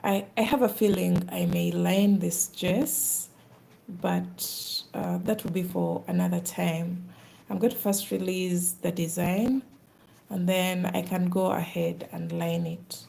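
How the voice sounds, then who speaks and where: A woman's voice: fairly low pitch, steady tone, slow, creaky, warm tone, poor audio. A woman with a Ugandan accent, chatting casually on a live stream while she does craft work.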